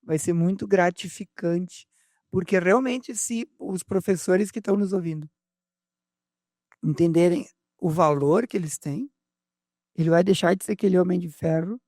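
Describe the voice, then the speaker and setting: A man's voice: high voice, moderate pace, darkish tone, no echo, studio quality. A man, co-hosting a podcast in casual conversation, hesitant, with many fillers and restarts. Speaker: podcast co-host.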